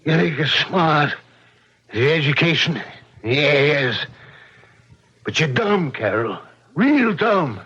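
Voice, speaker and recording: An actor playing a gruff, greedy, menacing middle-aged father in an old-time radio mystery. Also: a little high, lively intonation, takes it slowly, long pauses, sharp tone, full character voice. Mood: threatening. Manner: gruff, menacing, ungrammatical tough talk. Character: brutal, greedy, coarse father bullying his grown daughter. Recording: muffled old radio drama recording.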